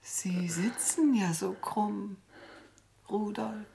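A woman's voice: mid-range voice, extremely slow, gravelly, dark tone, a little hiss or hum. An actress playing a tipsy, pompous and condescending lady of the house in a radio play. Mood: imperious. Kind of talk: German radio play acting.

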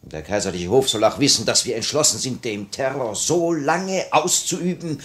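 An actor playing the composed leader of a revolutionary cell in a 1950 radio play, speaking in a measured, commanding way. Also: male voice, mid-range voice, expressive, lightly acted. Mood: proclaiming, resolute. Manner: measured, commanding, proclamatory at times.

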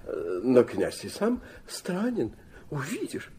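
Radio drama: genial old dithering prince, slow, hesitant, swooping intonation, husky voice. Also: male voice, medium pitch, huge pitch swings, dark tone. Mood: musing, dithering. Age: older. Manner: halting, mumbling hesitations, French endearments, swooping exclamations. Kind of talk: Russian radio play dialogue.

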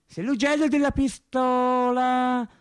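A male actor puts on a condescending factory foreman for radio theatre. The voice is lifted very high and drags slowly, over-deliberate, as though instructing a small child. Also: steady tone, smooth. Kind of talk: caricature within a theatrical radio monologue.

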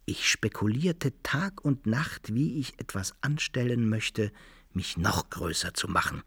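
A swaggering adventurer narrating his exploits in a radio drama. The voice is husky and closely recorded, with a very animated, savouring delivery. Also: medium pitch, measured pace, dry recording, full character voice. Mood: boastful. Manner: savouring, expressive. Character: boastful adventurer recounting his exploits with relish.

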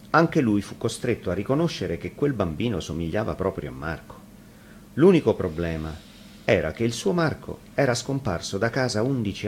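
A man reads a fairy tale aloud as a storyteller, in scripted narration. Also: on the low side. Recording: faint noise, live radio broadcast.

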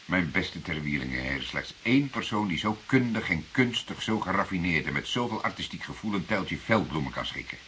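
An actor playing a shrewd older police detective in a Dutch radio drama, questioning deliberately with an insinuating irony. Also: male voice, low voice, expressive, sharp tone. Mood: slyly admiring. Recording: hissy radio play recording.

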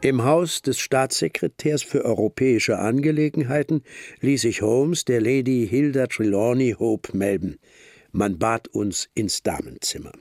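A husky, gravelly detective-tale narrator. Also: male voice, medium pitch, a little mellow, dry recording, studio quality, soft background music. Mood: measured, admiring. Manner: measured, literary storytelling. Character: admiring male companion narrating a detective tale. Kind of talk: scripted radio drama narration.

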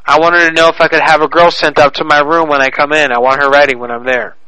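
Guy prank calling a hotel, crude and pushy, sharp bright tone. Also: a little high, little pitch movement, quick pace, clean tone, no room sound, poor audio. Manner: mock-serious, crude, pushy. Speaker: prank caller. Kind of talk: prank phone call.

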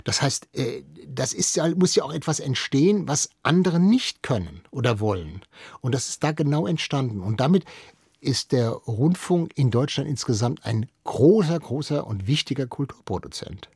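Male interview guest, mid-range husky voice, explanatory and emphatic, radio studio. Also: mid-range voice, expressive, normal speed, darkish tone, dry recording.

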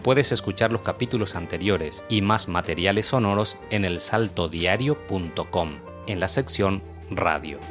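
Male podcast interviewer, lowish voice, informal hosting style, clear music bed. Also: moderate pace.

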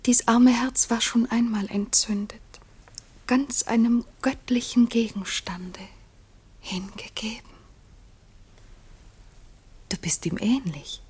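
A lovestruck, sentimental young bride in a radio play. Her voice is extremely breathy and often whispery, lifted a little high. She declaims slowly and ornately, as if swooning. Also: darkish tone, full character voice. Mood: confessing, tender. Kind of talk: theatrical German radio drama acting.

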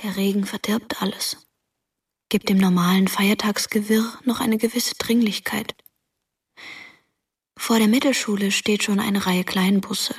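An actress playing a hushed, eerie narrator in a radio drama, half-whispering in a measured, intimate way. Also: female voice, medium pitch, slightly rough. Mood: hushed, observant. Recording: radio drama with music underneath.

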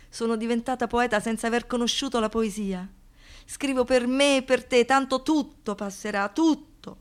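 An anguished woman, a survivor speaking a theatrical radio monologue. Her voice sits fairly high and moves in short, fragmented poetic phrases, ranging from breathy hush to raw intensity. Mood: quiet, mournful, then indignant, resolute. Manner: halting short phrases, dropping to whispers, rising to outbursts.

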